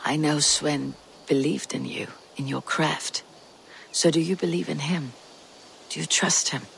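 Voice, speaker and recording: Viking warrior, fairly high male voice, stoic, measured, blunt, video game drama, some background noise. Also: fairly even intonation, vocal fry, fairly bright tone, exaggerated voice. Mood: solemn, consoling. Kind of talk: video game cutscene acting.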